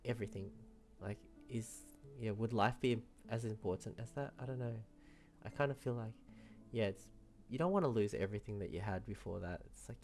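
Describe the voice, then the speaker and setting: Woman game streamer, very deep pitch, casual rambling commentary, hesitant, sympathetic, faint background music. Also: warm tone.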